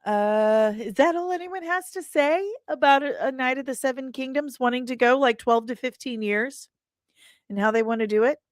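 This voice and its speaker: A woman's voice: high voice, normal speed, no room sound, studio quality. A woman hosting an entertainment livestream, talking casually and playfully as she reads and answers chat comments. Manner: playful, chatty, irreverent, with pet names and slang. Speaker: host of an entertainment news livestream.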